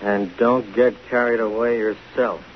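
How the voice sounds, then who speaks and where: An actor playing a gruff, bossy, wisecracking male police detective in a 1950s radio drama. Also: lowish voice, fairly even intonation, a little slow, lightly acted. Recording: hissy, muffled 1950 radio broadcast.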